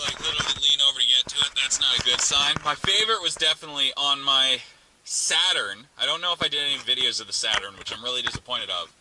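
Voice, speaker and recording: A man giving a casual hands-on tutorial as a vlogger, informally thinking aloud while he works inside a car. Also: a little high. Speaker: vlogger demonstrating a car radio install. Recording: noisy car interior with clicks.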